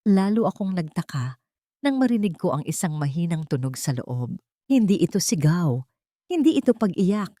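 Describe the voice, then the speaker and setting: Female audiobook narrator, lower-pitched, deliberate and suspenseful, very dry close sound. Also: very animated, dark tone, dry recording. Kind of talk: dramatic scripted first-person story narration.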